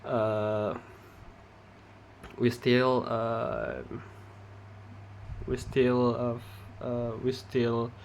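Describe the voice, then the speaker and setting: A young man from Indonesia, a non-native English speaker with an Indonesian accent, giving a halting class presentation with many pauses and restarts. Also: medium pitch, little pitch movement, creaky, a little hiss or hum. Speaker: student presenter.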